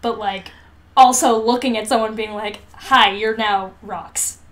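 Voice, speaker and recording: A woman's voice: a little high, steady tone, a little slow. A young woman co-hosting a podcast, chatting in an informal, playful way. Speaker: podcast co-host. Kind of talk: casual podcast chat.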